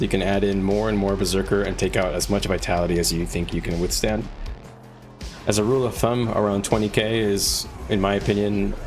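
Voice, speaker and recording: Music plays clearly behind a man with a fairly low voice. He talks casually like a live game streamer, pausing and rephrasing as he thinks out loud while advising his chat. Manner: halting, thinking aloud. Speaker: game livestreamer.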